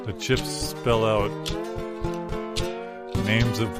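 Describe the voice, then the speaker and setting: A man playing a bewildered, paranoid drifter in a halting, musing comedy monologue over guitar music. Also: on the low side, little pitch movement. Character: bewildered paranoid stranded man, waiting for secret signs. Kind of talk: absurdist monologue in improvised audio comedy. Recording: loud guitar music behind. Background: plucked guitar music.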